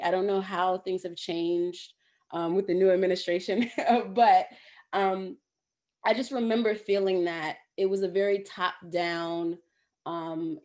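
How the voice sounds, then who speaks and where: Lower-pitched, animated woman on a panel, laughing easily between points. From southern United States. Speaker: alumni panelist. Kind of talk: candid panel answer. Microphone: video call.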